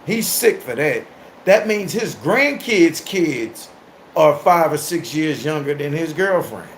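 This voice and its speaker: A man in his thirties hosting a casual livestream and reacting to viewer comments. He is loud, animated and crude, and laughs often. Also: a little high, slow pace, background noise. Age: 30s. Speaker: livestream host.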